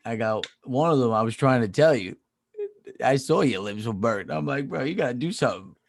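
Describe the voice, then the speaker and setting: A man's voice: medium pitch, expressive, fairly quick, gravelly. A man co-hosting a podcast in casual chat, easygoing and teasing in his banter. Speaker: podcast co-host.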